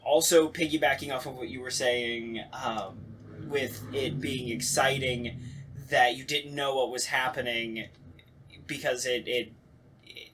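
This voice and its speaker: A man's voice: mid-range voice, steady tone, a little slow, fairly bright tone, slight background noise. A man hosting a casual podcast chat, telling stories animatedly and acting out imagined reactions. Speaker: podcast host. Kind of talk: casual chat about radio plays.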